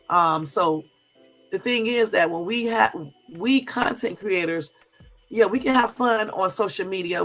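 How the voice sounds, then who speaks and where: A middle-aged woman, hoarse from a cold, hosting a casual livestream and telling stories straight to her viewers. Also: medium pitch, fairly bright tone, poor audio. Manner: chatty storyteller, talks straight to viewers. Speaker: YouTube livestream host.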